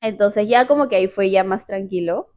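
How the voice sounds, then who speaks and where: A woman from Peru with a Peruvian accent, telling a personal story in casual chat, animated and quick to repeat words. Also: mid-range voice, highs cut off. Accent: Peruvian Spanish.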